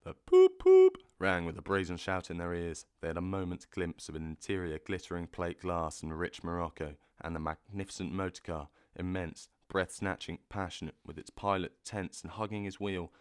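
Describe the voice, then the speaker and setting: A man narrating a classic children's story with measured, expressive delivery on a close mic. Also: low-pitched, smooth, no room sound. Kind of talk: audiobook narration.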